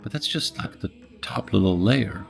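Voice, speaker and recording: A man's voice: lowish voice, lively intonation, normal speed, gravelly, soft background music. A middle-aged man from Poland, interviewing in a thoughtful, searching way and often restarting his phrases. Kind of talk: reflective conversation.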